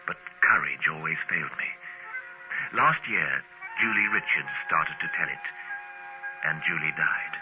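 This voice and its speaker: A man's voice: low voice, lightly acted. A man acting as a grave, haunted narrator with a solemn, dramatic delivery in an old radio drama. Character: Mark, grave haunted man narrating his dreadful secret. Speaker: narrator and lead actor. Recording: tinny vintage radio recording with music.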